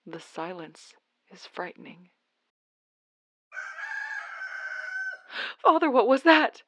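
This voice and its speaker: A woman's voice: on the high side, very animated, normal speed, a bit bright, dry recording. A woman playing an anxious, loyal young adult daughter in an old-time radio drama, earnest and dramatic. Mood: fearful awe. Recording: dull, dry lo-fi radio recording.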